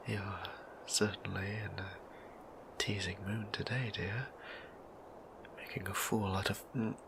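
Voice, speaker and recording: A man voicing a shy, tender vampire priest in an intimate romantic audio roleplay, speaking in a breathy, hesitant whisper with creaky edges. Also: fairly low pitch, flat delivery, noisy background. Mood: flustered, shy. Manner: hesitant, halting, gentle. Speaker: voice actor.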